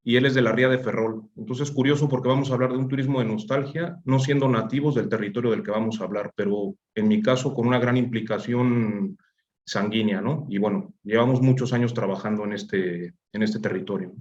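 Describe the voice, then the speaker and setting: A man's voice: on the low side, steady tone, fairly quick, darkish tone. A man with a Mexican accent, an architect, opening his conference presentation over a video call in a friendly, informal way. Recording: dull video call audio.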